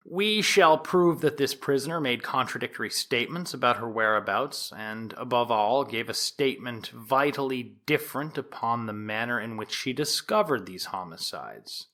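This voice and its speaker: A man's voice: mid-range voice, a bit bright. Man acting as a solemn, emphatic 1890s prosecutor. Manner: formal, measured, emphatic. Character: solemn male 1890s prosecuting attorney, formal and insistent. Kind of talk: dramatized courtroom oratory and examination.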